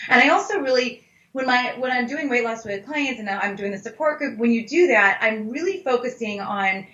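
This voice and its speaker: A woman's voice: on the high side, fairly bright tone. A woman speaking as a dietitian guest in a casual expert conversation, candid and emphatic. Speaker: plant-based dietitian, interview guest. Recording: echoey, slightly dull audio.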